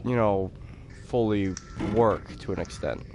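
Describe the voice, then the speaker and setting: A teenage boy chatting calmly with friends while streaming a game, talking slowly with many fillers and restarts. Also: fairly low pitch, background noise. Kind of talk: casual chat while gaming on a live stream.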